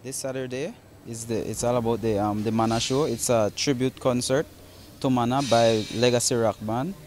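A man's voice: medium pitch, steady tone, moderate pace, darkish tone, a little hiss or hum. A young man, a concert promoter, talking casually in an interview, with many fillers and restarts, recorded on a handheld mic in a large open hall. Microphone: handheld reporter mic.

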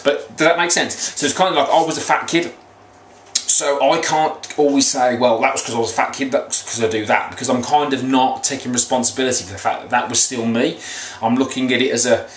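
Slightly croaky man, echoey room. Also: medium pitch, steady tone, a little fast. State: slightly croaky after a run. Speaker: weight loss coach. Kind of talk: motivational vlog talk to camera. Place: small room at home, indoors. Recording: echoey small room, faint background noise.

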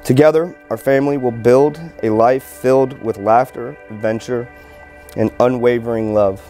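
A man in his thirties, a groom earnestly and haltingly reading wedding vows aloud outdoors. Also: medium pitch, steady tone, warm tone, soft background music, low-quality recording. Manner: halting, earnest, stumbles over words. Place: outdoor wedding ceremony in a field. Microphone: handheld microphone held nearby by officiant.

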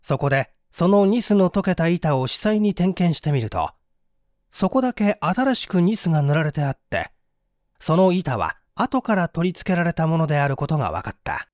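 A male audiobook reader delivers mystery narration with theatrical suspense. His voice sits fairly high and moves widely in pitch. The sound is dry and close. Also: big pitch swings, moderate pace, darkish tone, no room sound. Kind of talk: dramatic scripted narration of a mystery story.

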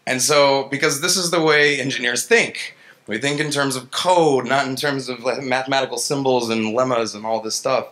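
A man with a bright, forward voice gives a casual tech talk on stage. He speaks like an engineer among peers, colloquial and self-deprecating, often acting out his own reactions. His pitch sits in an ordinary male middle range. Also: steady tone, moderate pace. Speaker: software engineer giving a lightning talk. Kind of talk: informal conference talk with slides. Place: stage in an indoor gallery event space. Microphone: handheld-style vocal mic on a stand.

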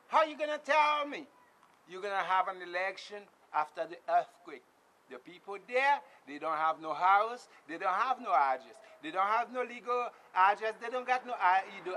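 A man's voice: high-pitched, slight background noise. A middle-aged Haitian man with a Haitian accent, delivering an impassioned, repetitive political rant straight to a close camera in a small tarp-walled space. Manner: emphatic and repetitive, swings between shouting and muttering. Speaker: political vlogger. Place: small indoor space with blue tarp walls. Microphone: camera close up in front of him.

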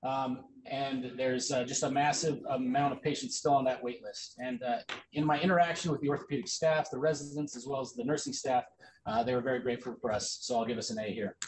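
Fast-talking man giving a medical lecture. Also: medium pitch, steady tone, slight background noise, poor audio. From United States. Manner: quick, casual lecturing with frequent ums and uhs. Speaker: orthopedic surgery resident presenting at grand rounds. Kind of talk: academic slide presentation.

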